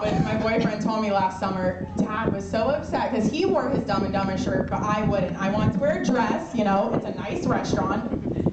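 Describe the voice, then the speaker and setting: Young woman, eulogy, joking tone, laughs between lines, distant echoey sound, loud background noise. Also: mid-range voice. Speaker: eulogist, daughter of the deceased. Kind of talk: informal memorial speech. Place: outdoor pavilion. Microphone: microphone through loudspeaker. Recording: echoey, distant, noisy outdoor recording.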